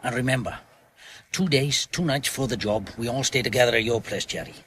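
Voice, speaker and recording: An actor playing a bossy, edgy British crime crew leader in a radio drama, giving clipped, commanding instructions. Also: male voice, medium pitch, fairly quick, fairly bright tone, slight background noise, lightly acted. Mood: tense, instructing.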